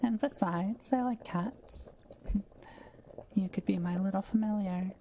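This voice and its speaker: A woman playing a kind, curious forest witch in an intimate audio roleplay, speaking gently and thoughtfully with polite, measured phrasing. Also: medium pitch, slight background noise, lightly acted, low-quality recording. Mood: warm, thoughtful. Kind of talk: intimate scripted audio roleplay addressed to the listener.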